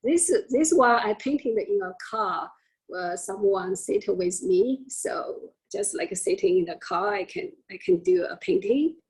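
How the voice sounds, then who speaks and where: Slow, halting woman with a husky voice. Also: medium pitch, animated, a little mellow, low-quality recording. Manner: hesitant, halting, nervous. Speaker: presenting artist. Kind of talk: informal online presentation. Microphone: video call.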